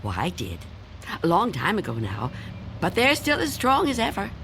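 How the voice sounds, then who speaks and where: An actress playing an anxious, secretive, reclusive spinster in a radio drama, halting and fretful. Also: female voice, mid-range voice, huge pitch swings, a bit bright, a little hiss or hum. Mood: evasive.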